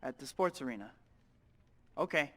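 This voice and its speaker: A man's voice: mid-range voice, animated, normal speed, very noisy, lightly acted. An actor playing a young male superhero in a video game, earnest and self-doubting, with a wry, quippy manner. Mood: hurried. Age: young adult. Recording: loud music behind.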